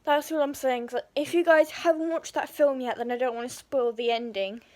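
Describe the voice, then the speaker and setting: A woman talks to her viewers in a loose, chatty vlog, circling back and repeating her points. Her voice sits high and has gone croaky from a sore throat. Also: fairly even intonation, normal speed, a little mellow. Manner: chatty, rambling, repeats herself. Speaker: vlogger. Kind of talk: casual vlog chat.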